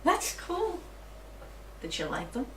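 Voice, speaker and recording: A woman's voice: high-pitched, wildly swooping pitch, slow pace. A woman interviewer asking casual questions, giggling often and encouraging her guest. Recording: distant, roomy mic with faint noise.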